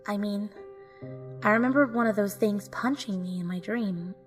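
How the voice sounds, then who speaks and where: A woman's voice: medium pitch, normal speed, soft background music, lightly acted. A woman giving scripted first-person narration for an audio drama.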